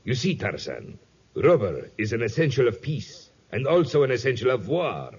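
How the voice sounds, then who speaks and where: A man's voice: mid-range voice, sing-song, normal speed, a little mellow, breathy edge. An actor playing a domineering, suspicious plantation owner and father in a 1950s radio drama, formal and self-justifying in manner. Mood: stern, defensive. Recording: dull vintage 1950s radio recording.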